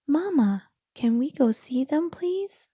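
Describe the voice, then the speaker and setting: Storybook joey , female reader: young boy, wide-eyed, excitable, pleading, raised pitch, close dry sound. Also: slow, no echo. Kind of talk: storybook read-aloud.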